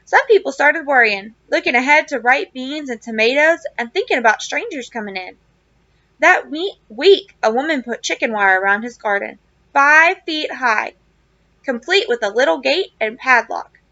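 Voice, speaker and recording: Female educator for children's read-aloud, high-pitched and bright, expressive emphasis, dry close sound. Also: normal speed, no echo, muffled recording. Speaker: teacher reading a book aloud to children. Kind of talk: read-aloud of a children's novel with casual asides.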